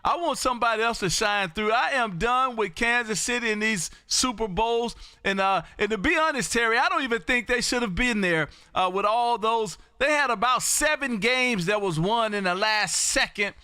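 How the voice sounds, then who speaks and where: Loud excitable guy hosting ham radio stream, high bright voice, close dry sound. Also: moderate pace, hardly any pauses, clear, resonant tone, no room sound. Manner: loud, exuberant, emphatic, repeats phrases. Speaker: amateur radio operator and livestream host. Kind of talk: ham radio contact chat. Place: indoor home radio room.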